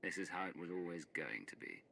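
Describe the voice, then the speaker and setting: An actor playing a resolute male hero in a game, deep and gravelly, with an authoritative manner. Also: sing-song, brisk, bright, forceful tone, slight background noise, full character voice. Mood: calm authority.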